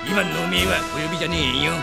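A man acting a brash, hot-headed idol superfan in a Japanese comedy audio drama, with boisterous, theatrical delivery and rough slangy speech. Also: fairly high pitch, rapid-fire, loud music behind the voice. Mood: shocked, taunting.

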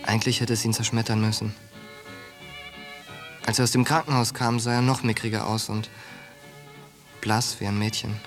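An actor playing a tough, streetwise young soldier, blunt and slangy, in a German radio drama. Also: male voice, lowish voice, level tone, normal speed, long pauses, fairly bright tone. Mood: reminiscing. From United States, Philadelphia. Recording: studio drama with music bed.

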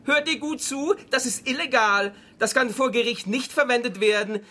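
A man's voice: extremely high pitch, moderate pace, pushed and bright. An actor playing a nervous, evasive male salesman in a radio play, stammering and agitated, turning defiant.

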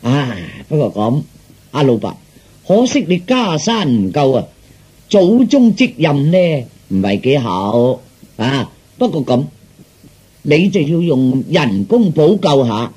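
A man voicing a glib, flattering fortune teller in Cantonese, with theatrical sales patter. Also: fairly high pitch, takes it slowly, breathy edge, full character voice. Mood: scheming, persuasive. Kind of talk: Cantonese storytelling performance.

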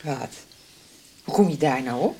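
A woman's voice: extremely low pitch, animated, a little slow, warm tone, husky, heavily acted. An actress playing a worried, indignant mother in a radio soap, direct and probing.

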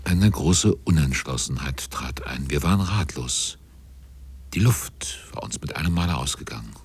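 An actor playing a sober sailor narrator, recounting a sea voyage in a literary radio drama, with a husky voice. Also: male voice, a bass voice, lively intonation, moderate pace, exaggerated voice.

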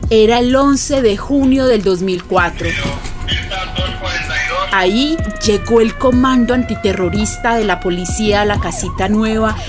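An actress playing a plainspoken Colombian neighbour who recalls events in vivid, colloquial testimony for a radio drama. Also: female voice, fairly high pitch, natural voice. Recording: music behind the voice.

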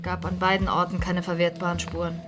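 Eine Schauspielerin spielt in einem Hörspiel eine sachliche, trocken-sarkastische Kriminalermittlerin. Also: female voice, lowish voice, completely flat delivery, a little fast, loud music behind the voice, natural voice. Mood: businesslike, pondering. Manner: dry, matter-of-fact. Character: sardonic, businesslike female police investigator. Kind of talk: radio drama acting.

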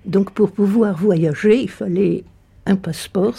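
Slow, husky older woman telling an anecdote. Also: mid-range voice, mellow. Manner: anecdotal, acts out quoted dialogue. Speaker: interviewee.

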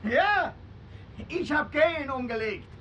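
An actor playing a cocky, threatening young gangster who barks taunts and orders in a crime radio drama. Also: male voice, very high voice, expressive, slow, bright, forceful tone, dull audio, a little hiss or hum, full character voice. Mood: boastful. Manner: taunting, aggressive, barking orders.

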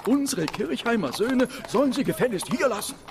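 A man's voice: very high-pitched, animated, brisk, vocal fry, exaggerated voice. An actor playing a worried townsman in a radio play, cautioning and explaining, in a noisy outdoor street scene. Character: worried male townsman warning about army recruiters.

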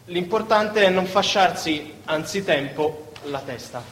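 A man acting on stage as a formal, measured doctor who offers hedged reassurance. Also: sits high, fairly bright tone. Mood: measured, reassuring. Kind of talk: live theatre acting. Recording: echoey theatre hall, faint noise.

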